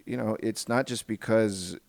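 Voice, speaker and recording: Low-voiced man hosting a casual chat. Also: steady tone, vocal fry, no room sound. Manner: halting, repeats words as he reasons aloud. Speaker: podcast host.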